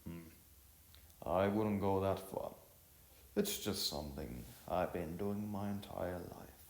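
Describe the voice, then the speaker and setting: A man's voice: sits low, slow pace. A man voicing an earnest, modest boy in an amateur story, speaking in a polite, formal, hesitant way. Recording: roomy echo with music behind.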